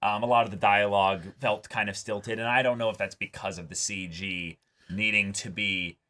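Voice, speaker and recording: A man with a fairly low voice talks as a reaction show co-host. His delivery is casual and conversational, with keen emphasis on the points he likes. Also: steady tone, moderate pace, bright, forceful tone. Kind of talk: casual TV episode discussion.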